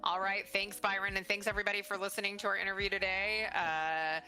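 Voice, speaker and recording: A woman's voice: mid-range voice, flat delivery, fast, bright, forceful tone, faint music behind. A middle-aged woman interviewing in a casual, chatty way, with an encouraging, supportive manner. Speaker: interviewer, mother of the guest.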